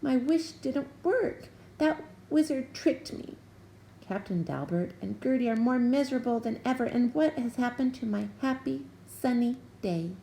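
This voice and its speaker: A woman voicing an excitable young male bullfrog in a storybook, talking in a high, eager way that turns breathless when he is frightened. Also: a little slow, gravelly, a little hiss or hum, rough recording. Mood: sad.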